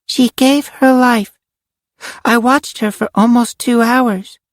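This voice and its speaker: A man's voice: high voice, creaky, no echo, studio quality, pitched above the performer's own voice. A man voicing a solemn little girl in an audiobook, speaking in a grave, pleading manner. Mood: solemn, sorrowful. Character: solemn little girl with an alien controller inside. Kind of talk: audiobook character acting.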